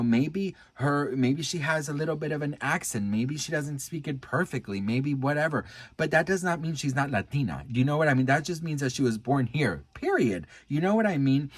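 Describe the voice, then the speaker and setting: American man, casual vlog chat, gushing and earnest, medium pitch, close dry sound. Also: dry recording. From United States. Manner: gushing, earnest, addresses viewer as best friend. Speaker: vlogger commenting on celebrity news.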